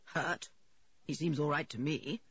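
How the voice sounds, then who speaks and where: A woman's voice: low voice, measured pace, gravelly, a bit bright, husky, dry recording, dull audio, full character voice. A woman voicing an irritable, impatient father who snaps dismissively, in an audiobook story. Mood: irritated. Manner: snapping, brusque, scornful. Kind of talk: audiobook character voice.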